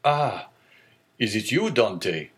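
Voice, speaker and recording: A man's voice: on the low side, sing-song, slow pace, a bit bright, full character voice. A man doing an eager, pragmatic ship owner. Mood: anxious. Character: middle-aged male ship owner, anxious then consoling. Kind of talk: audiobook character reading.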